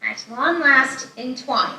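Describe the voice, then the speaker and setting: Woman playing a high, earnest young granddaughter. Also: slow, sharp tone, full character voice. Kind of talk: live screenplay table read. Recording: very echoey room, distant mic.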